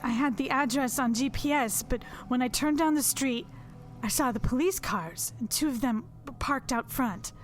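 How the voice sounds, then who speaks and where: An actress playing a distraught, haunted woman in an audio drama, speaking haltingly as she confides in her psychologist. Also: high voice, close to an everyday voice. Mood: shaken, haunted. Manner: halting, repeating words, trailing off.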